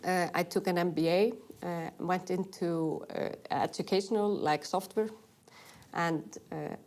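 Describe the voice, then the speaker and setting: A woman's voice: lowish voice, steady tone, unhurried, a little mellow. A middle-aged woman from Iceland with an Icelandic accent, speaking English as an interview guest, friendly and hesitant with many fillers. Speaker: retail executive guest. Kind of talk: in-person interview.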